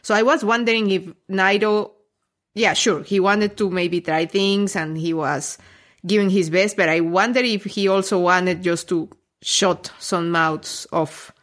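A woman's voice: medium pitch, steady tone, a little slow. A woman from Colombia on a podcast, giving long, thoughtful, winding explanations. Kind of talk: casual podcast chat.

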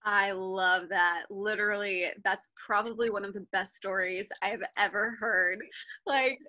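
A woman's voice: medium pitch, steady tone, a little slow, no room sound. Gushing woman podcast host, dry sound. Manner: gushing, warm-hearted praise, filler "like". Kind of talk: podcast hosting, casual chat.

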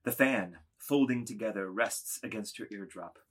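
A man with a low voice and a husky grain narrates. He reads scripted stage directions from a literary work, deliberately and with drama. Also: a bit bright.

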